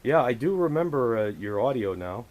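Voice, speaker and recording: A man operating a radio and hosting a contact, chatting casually and chummily in a halting, hesitant way. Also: mid-range voice, very animated, darkish tone. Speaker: amateur radio operator running the frequency. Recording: hissy, noisy radio recording. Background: radio static hiss.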